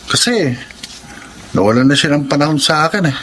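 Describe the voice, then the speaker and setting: A man's voice: on the high side, animated, a little fast, a bit bright, a little hiss or hum. A man playing a lovesick, mopey young man in a Filipino radio drama. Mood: wistful, regretful.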